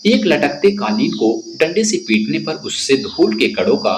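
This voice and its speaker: A man presents a quiz lesson for exam students in a slightly high voice with very lively swings of pitch. He addresses listeners directly and restates questions and answers. The recording has loud background noise. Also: normal speed. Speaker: exam-prep instructor. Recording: echoey room, loud insect-like background noise.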